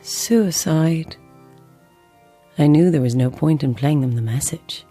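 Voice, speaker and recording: A woman's voice: deep voice, slow pace, warm tone, slightly rough, faint music behind, heavily acted. A middle-aged woman with an Irish accent playing a grieving mother telling a haunted story in an audio drama. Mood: sombre, resolute. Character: grieving mother recounting her daughter's haunting death.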